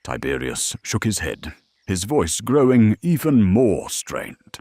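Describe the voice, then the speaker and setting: A man's voice: lowish voice, wildly swooping pitch, slow pace, warm tone, dry recording. A man narrating a scripted drama in a storytelling style.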